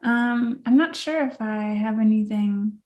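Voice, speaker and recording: A woman co-hosting a podcast, talking in a slow, thoughtful, reflective way and searching for words. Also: on the high side, muffled recording. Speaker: podcast co-host and coach. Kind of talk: reflective casual chat.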